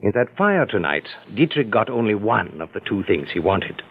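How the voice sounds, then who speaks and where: A sly crook plotting a double-cross, his voice low and husky with wide, playful swings. It sounds like an old 1940s radio play on a thin, narrow broadcast. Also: very animated, normal speed, exaggerated voice. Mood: scheming.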